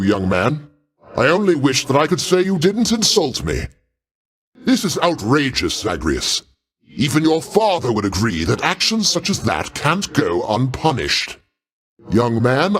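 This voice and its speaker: An actor playing a proud, paternal older god in a video game, speaking in a pompous, avuncular way with formal, theatrical diction. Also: male voice, mid-range voice. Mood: stern, offended. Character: grandiose, paternal older male Greek god, proud, easily offended.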